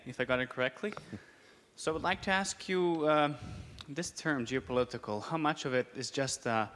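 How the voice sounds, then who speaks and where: A man's voice: medium pitch, fairly bright tone. A man from Serbia politely asking a question from the audience, in a formal manner with hesitations. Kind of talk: question from the floor. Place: large conference hall. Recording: long hall reverb.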